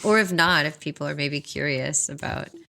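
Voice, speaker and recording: A woman's voice: deep voice, moderate pace, gravelly, pushed and bright. A woman co-hosting a podcast, moving between casual chat and neatly read scripted segments. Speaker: podcast co-host.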